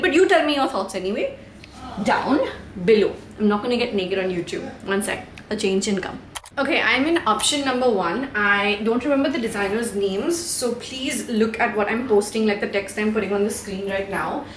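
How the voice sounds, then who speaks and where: A woman's voice: medium pitch, roomy sound, slight background noise. A woman in her thirties with an Indian English accent, chatting candidly to camera in a home vlog, swearing now and then and mixing in Hindi. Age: 30s. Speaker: vlogger. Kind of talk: casual chat to camera. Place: room at home, indoors.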